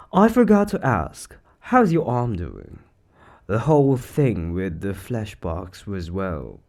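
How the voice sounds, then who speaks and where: Man playing a lively low-voiced quirky shop boss. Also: vocal fry, heavily acted. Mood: concerned, sheepish. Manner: animated, chatty, hesitant asides. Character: upbeat, quirky male boss of a mysterious supernatural shop. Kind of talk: casual one-sided roleplay audio, chatty.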